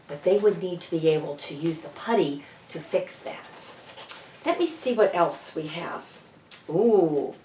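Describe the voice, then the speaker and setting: Slow, animated woman in an echoey room. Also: mid-range voice. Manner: teacherly, asking the children questions. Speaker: children's author presenting at a library story event. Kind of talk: storytime talk for young children. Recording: roomy echo, distant microphone.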